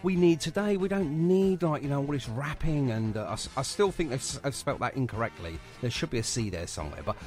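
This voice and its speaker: Animated male radio interviewer. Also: medium pitch, fast talker, hardly any pauses, faint music behind. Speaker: radio show host and mobile DJ.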